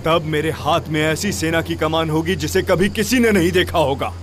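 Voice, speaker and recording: A man's voice: on the high side, steady tone, moderate pace, a little hiss or hum, close to an everyday voice. A man voicing a scheming, power-hungry villain in a dubbed cartoon, with a grandiose, plotting way of talking. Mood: gloating. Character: scheming, power-hungry male villain raising a zombie army.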